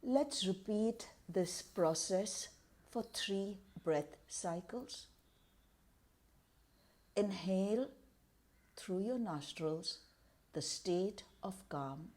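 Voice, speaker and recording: A woman leading a guided meditation in a calm, measured, deliberate way, pausing between short phrases. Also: mid-range voice.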